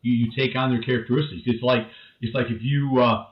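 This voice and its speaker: A man's voice: medium pitch, fairly even intonation, a little fast. A man from the northern Midwest with a Midwestern accent, a show guest talking casually, often stopping and repeating words. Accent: Midwestern American. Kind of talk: casual conversation. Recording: dull roomy call audio.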